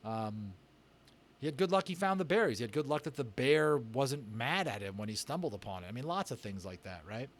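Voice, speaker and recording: A man's voice: mid-range voice, animated, brisk. A man reading study questions aloud in an informal, conversational way, adding his own thoughts as he goes. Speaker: voice actor and book reader hosting a read-aloud. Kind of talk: reading discussion questions aloud with casual asides.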